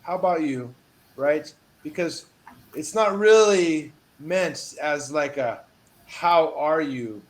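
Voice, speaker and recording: A man teaching a one-on-one English lesson over a video call, patient and encouraging, reading model phrases with lively emphasis. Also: a little high, takes it slowly, poor audio. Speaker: English tutor.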